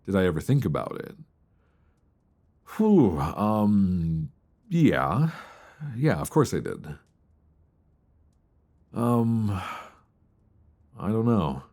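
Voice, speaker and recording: A man playing a tender, nervous longtime friend confessing love in an intimate ASMR romance roleplay, with a deep voice and hesitant, earnest delivery, close to a binaural mic. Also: sing-song, heavily acted. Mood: flustered. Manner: hesitant, soft, earnest, with sighs and pauses. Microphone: close binaural microphone.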